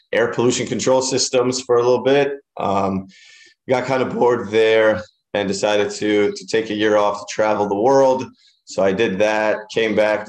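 Low, flat-voiced man from Israel. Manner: rambling, with many fillers and restarts. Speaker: guest. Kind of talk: casual interview talk. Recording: roomy echoey room.

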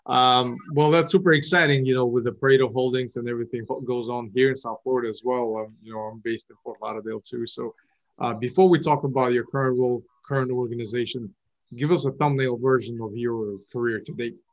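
A man's voice: medium pitch, steady tone, fairly quick. A man hosting a podcast interview, talking casually and sounding upbeat and encouraging. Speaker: podcast host. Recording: dull lo-fi audio.

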